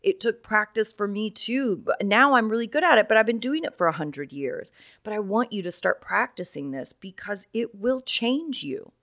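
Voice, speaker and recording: A woman with a naturally raspy voice, hoarse right now, talking casually and earnestly as a solo podcast host. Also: medium pitch, expressive, normal speed, a bit bright, no echo, clean studio sound. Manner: encouraging, earnest, many "right?" asides.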